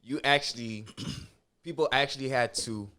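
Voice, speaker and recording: A man's voice: medium pitch, moderate pace, bright, forceful tone. A man hosting a casual podcast interview, chiming in with constant affirmations and slangy reactions. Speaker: podcast host.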